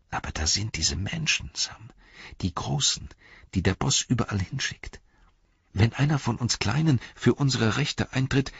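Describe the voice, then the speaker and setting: Man reading as a nervous, complaining hobbit constable, high and husky. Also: dry recording, muffled recording, full character voice. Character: timid, grumbling young male hobbit constable.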